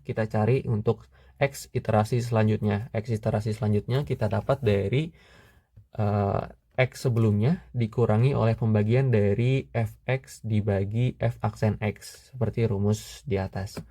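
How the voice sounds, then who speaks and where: A young man with a low, mellow voice walks through spreadsheet calculations step by step. He speaks steadily and methodically, often pausing on "eh" while he works. The sound is dry and close, with no room around it. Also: no echo. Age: young adult. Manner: steady, methodical, pauses with "eh" fillers. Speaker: student tutor. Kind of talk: screen-recorded math tutorial.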